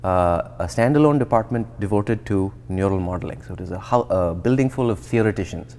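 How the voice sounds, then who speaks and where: A man in his thirties from India, a researcher explaining his path in a relaxed, thoughtful interview, often restarting sentences. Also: fairly low pitch, a little fast. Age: 30s. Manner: thoughtful, with frequent restarts and fillers. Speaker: guest researcher. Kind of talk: conversational interview. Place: indoor atrium with plants and glass. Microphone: lapel.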